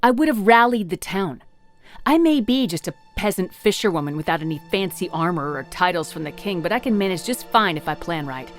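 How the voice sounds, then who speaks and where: A woman's voice: fairly low pitch, expressive, fairly quick, soft background music, lightly acted. A woman playing a blunt, plain-spoken peasant fisherwoman grieving her brother in a fantasy audio drama. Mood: defiant.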